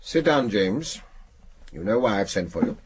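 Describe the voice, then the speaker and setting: An English actor in a radio drama playing a brusque older spy chief, clipped, gruff and commanding. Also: male voice, lowish voice, big pitch swings, normal speed, noisy background. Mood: irritated.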